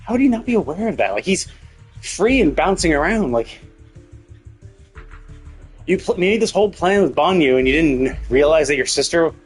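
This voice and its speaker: A man's voice: a little high, expressive, moderate pace, long pauses. A man giving casual, opinionated live-stream commentary on a game, rambling and often tagging on "right?". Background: game music.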